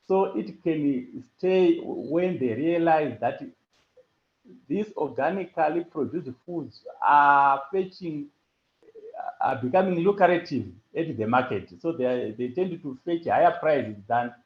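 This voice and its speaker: A man presenting a farming project and answering questions on a call, pausing often with fillers and restarts. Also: on the high side. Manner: halting, with many fillers and restarts. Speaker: hackathon presenter. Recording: echoey lo-fi call audio.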